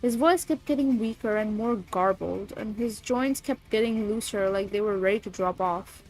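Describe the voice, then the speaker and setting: A woman's voice: medium pitch, normal speed, a little mellow, rough recording. A sniffly woman with a cold, chatting casually on a livestream and reading creepy stories aloud. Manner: bubbly, swears, reads chat aloud. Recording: faint music behind.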